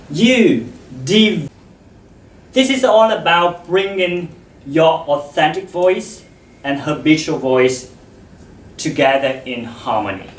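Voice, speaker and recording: Slow man speaking in a roomy hall. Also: fairly high pitch, far from the mic, a little hiss or hum. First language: Vietnamese. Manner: slow and deliberate, with frequent pauses. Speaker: speaker giving a talk. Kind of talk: public talk to an audience.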